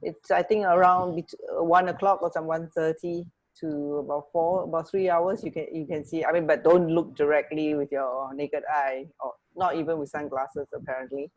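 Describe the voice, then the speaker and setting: A woman co-hosting a radio show in casual chat, talking in a chatty, halting, rambling way. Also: sits low, steady tone, a little mellow, rough recording.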